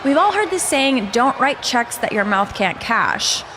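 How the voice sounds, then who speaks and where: High, swooping bratty wrestling villain. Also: female voice, very animated, measured pace, noisy background. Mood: smug, gloating. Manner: taunting, boastful, sneering. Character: cocky, mocking female wrestler villain, bratty and vain. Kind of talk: video game cutscene acting, trash talk.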